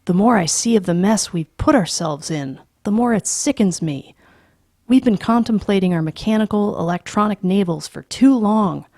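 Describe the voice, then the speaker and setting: A woman's voice: on the low side, slow pace, creaky, breathy edge, dry recording, studio quality, exaggerated voice. A woman doing a stern, fed-up father. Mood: angry. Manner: blunt, forceful outbursts. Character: stern middle-aged father, fed up and firm.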